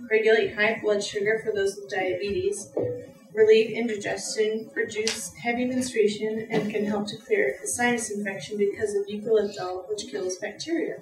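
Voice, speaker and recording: A woman's voice: mid-range voice, fairly even intonation, normal speed. Young woman reading her herb talk off notes, echoey classroom, mic way back. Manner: earnest, reads from notes with fillers. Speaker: student presenter. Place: lecture room with audience. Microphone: far camera. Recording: echoey room from far off.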